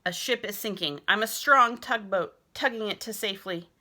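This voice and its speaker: A woman's voice: medium pitch, normal speed, bright, forceful tone, pitched above the performer's own voice. A woman voicing an exuberant, imaginative little boy who boasts his way through a children's picture book. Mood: urgent. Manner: exuberant, boastful, sound-effect loving. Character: boisterous imaginative little boy playing vehicles and animals.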